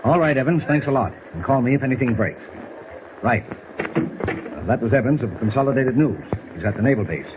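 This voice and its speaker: A man's voice: mid-range voice, lively intonation, fairly quick, natural voice. Guy playing brusque old-time radio newspaper editor, emphatic, tinny audio w some hiss. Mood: grave. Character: brisk, gruff, decisive male newspaper editor. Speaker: radio drama actor. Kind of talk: 1940s radio drama acting. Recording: thin, hissy 1940s radio recording.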